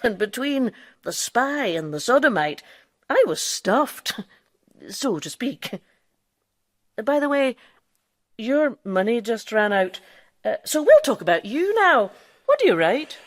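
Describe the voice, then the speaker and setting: A woman's voice: a little high, big pitch swings, slow, long pauses, no echo, studio quality. An actress in a radio drama playing an impudent, boastful female quack doctor who lives as a man. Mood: triumphant, probing. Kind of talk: radio drama acting with narration.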